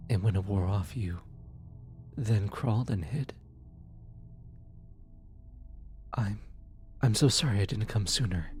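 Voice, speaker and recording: A performer playing a gentle demon receptionist from hell in an ASMR roleplay, speaking in a reassuring, hesitant manner. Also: mid-range voice, close to an everyday voice. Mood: apologetic. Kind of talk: ASMR roleplay, scripted comfort acting. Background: music.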